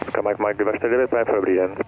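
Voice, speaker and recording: A male air traffic controller rattles off clipped instructions quickly in a lowish voice. He is heard over a narrow, tinny aircraft radio. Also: steady tone. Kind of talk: clipped aviation radio phraseology.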